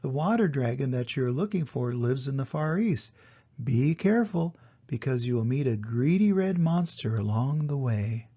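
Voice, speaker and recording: Storybook deer guide played by an older man: helpful, instructing, mellow, husky. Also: mid-range voice, animated, moderate pace, dull audio, lightly acted. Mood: advising.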